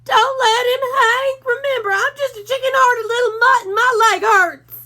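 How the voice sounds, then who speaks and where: A woman's voice: extremely high pitch, steady tone, normal speed, bright, forceful tone, voice pushed up. A woman voicing a timid, whiny little male dog who wails and whimpers in panic. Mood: panicky whining.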